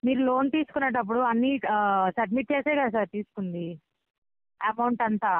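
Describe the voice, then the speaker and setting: A woman working as a loan recovery agent on a phone call, polite and persistent but halting. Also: a little high, no room sound. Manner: polite, persistent, halting, repeatedly says sir. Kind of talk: debt collection phone call. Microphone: phone line. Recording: thin, band-limited phone call recording.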